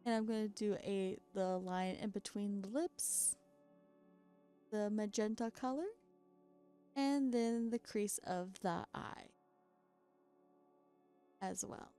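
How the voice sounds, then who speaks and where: A woman talks through her crafting in a relaxed, chatty voice-over with frequent self-deprecating laughs. She is worn out from a sleepless night, so her voice is creaky and keeps cracking. Faint background music plays beneath her. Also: mid-range voice, takes it slowly, pauses often. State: very tired after staying up all night. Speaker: doll customizing creator. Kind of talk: casual craft voice-over narration.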